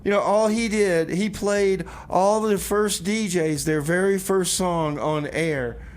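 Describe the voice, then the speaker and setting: A man's voice: high-pitched, takes it slowly, clean tone. A man playing a casual, needling community radio DJ who rambles and repeats himself in comedy banter. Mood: teasing. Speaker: radio music show host and DJ. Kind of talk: comedy radio theater banter between songs.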